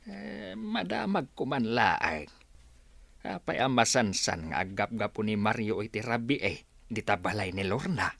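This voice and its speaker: An actor playing a hesitant, insinuating older village gossip with a Filipino Ilocano accent, in radio drama style. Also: male voice, on the low side, very animated, a little slow, creaky, fairly bright tone, dry recording, exaggerated voice.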